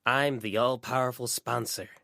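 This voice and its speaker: A man's voice: mid-range voice, fairly even intonation, moderate pace, creaky, pushed and bright, no echo, studio quality, pitched above the performer's own voice. A man puts on a little creature girl from an audiobook story. She is drowsy and cheeky, mumbling grand boasts in a voice raised above his usual one. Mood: sleepy boasting.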